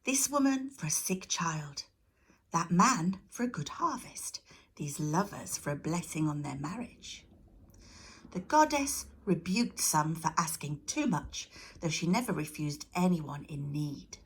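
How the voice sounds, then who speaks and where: A woman reading a children's storybook aloud as scripted, expressive narration. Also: low voice, unhurried, breathy edge.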